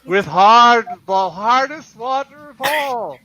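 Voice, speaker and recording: A man's voice: very high voice, expressive, slow pace, sharp tone, voice pushed up, heavily acted. A man playing the mournful, warning ghost of a dead business partner in a comic radio play, solemn and declamatory. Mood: forceful.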